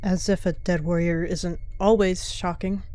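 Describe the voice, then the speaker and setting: A woman's voice: lowish voice, steady tone, unhurried, warm tone, soft background music, close to an everyday voice. A woman voicing a cold, scheming young she-cat warrior in a voice roleplay, talking in a curt, dismissive way. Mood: cool.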